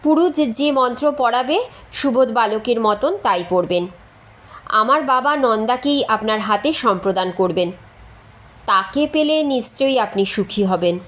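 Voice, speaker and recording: Woman reader doing a cheeky candid young lady letter writer, storybook style, slowish, higher voice. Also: animated, a bit bright. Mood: candid, persuasive. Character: frank, playful, clever young woman writing letters to her suitor.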